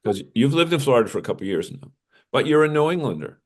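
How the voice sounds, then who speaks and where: A man's voice: medium pitch, very animated, fast. A man with an American accent co-hosting an RV news show, talking things over in a casual, conversational way.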